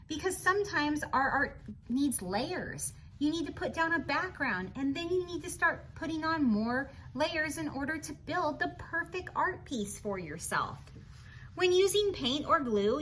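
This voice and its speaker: High, bright woman reading to children. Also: a little hiss or hum. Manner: teacherly, encouraging, expressive, asks the listener questions. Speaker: storybook reader for children. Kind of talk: children's read-aloud with chatty asides.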